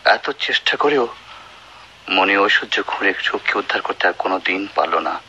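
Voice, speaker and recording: An actor with a Bangladeshi accent playing a humble, melancholy doctor in a radio drama, courteous and hesitant. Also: male voice, sits low, expressive, normal speed, bright, forceful tone, full character voice. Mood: sorrowful musing. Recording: dull, noisy old radio recording.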